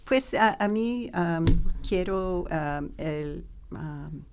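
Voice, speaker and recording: Very slow, gravelly, low-voiced woman. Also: animated, noisy background. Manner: halting, long pauses searching for words. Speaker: discussion participant.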